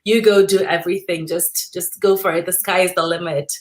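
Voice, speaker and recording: A woman's voice: lowish voice, steady tone, a little fast. A woman from Kenya talking conversationally as an interview guest, explaining things earnestly in a personal storytelling way. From Kenya, Nairobi.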